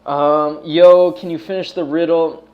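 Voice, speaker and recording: An American man with a slightly high-pitched voice hosts a casual livestream. He talks in a loose, laid-back stoner way, full of slang. Swearing comes freely as he chats. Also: level tone, measured pace, dull audio. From United States. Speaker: livestream host.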